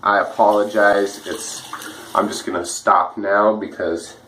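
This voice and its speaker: A man with a slightly rough, dry voice, chatting casually and a bit ramblingly while he shaves at home for his vlog. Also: lowish voice, level tone, measured pace, a bit bright, slight background noise, poor audio. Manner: easygoing, rambling, many fillers and restarts. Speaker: wet shaving vlogger. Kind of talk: casual talk while shaving.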